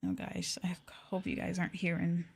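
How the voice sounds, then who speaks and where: A woman hosting an ASMR livestream, chatting casually and gently in a soft, breathy voice that often drops into a whisper, close to the mic. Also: on the low side, little pitch movement, moderate pace. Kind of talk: soft-spoken ASMR casual chat with viewers. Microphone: close ASMR microphone.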